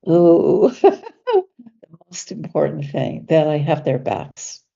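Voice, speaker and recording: A woman coach talking casually as an interview guest, sounding stuffy from allergies, with laughs and fillers as she slows down to stress words. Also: low voice, huge pitch swings, gravelly, mellow, dull audio.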